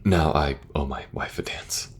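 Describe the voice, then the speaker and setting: A man playing an earnest young king who speaks gently and falters a little when things get awkward. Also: very deep voice, measured pace, in a whisper. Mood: warm. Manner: gentle, soft-spoken, faltering at awkward moments. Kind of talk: audio roleplay acting.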